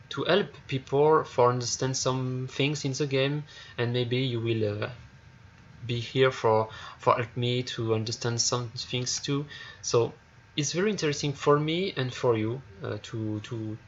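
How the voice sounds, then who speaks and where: This is a man with a French accent, hosting a casual game review in English with a mid-range pitch. He stops often to hunt for words, filling the gaps with "uh" and repeated starts. The recording is a bit dull, with a faint hiss underneath. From France. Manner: halting, searching for words, many fillers and repeated starts. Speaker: gaming YouTuber.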